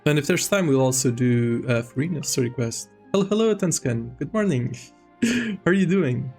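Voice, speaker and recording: A man's voice: mid-range voice, animated, moderate pace, darkish tone, soft background music. A man chatting casually as a game stream host, rambling and hesitant, prone to giggling. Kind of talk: casual livestream chat.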